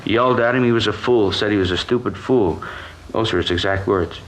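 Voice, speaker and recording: A man's voice: on the low side, a little fast, lightly acted, poor audio. A male actor playing a nervous, colloquial American kitchen worker giving a witness account in a 1950s radio crime drama. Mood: shaken. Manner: hesitant, colloquial, defensive. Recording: old radio recording with background hiss.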